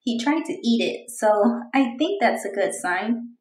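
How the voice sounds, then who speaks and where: Woman playing determined young girl, storybook diary, slightly high voice, deliberate pace, roomy echo. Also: animated, a little mellow. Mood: hopeful.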